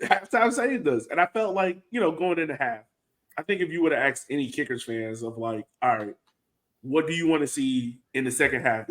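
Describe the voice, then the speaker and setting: An American man co-hosting a soccer podcast, thinking aloud in an informal, conversational way with plenty of "like". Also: on the high side. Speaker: podcast co-host and soccer supporter. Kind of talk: casual sports chat.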